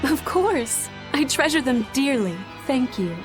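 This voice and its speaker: High, stately noble priestess. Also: female voice, big pitch swings, music in the background. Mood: fond. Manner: formal, stately, measured. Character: noble priestess, devoted elder sister, solemn and stern. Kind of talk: video game voice acting.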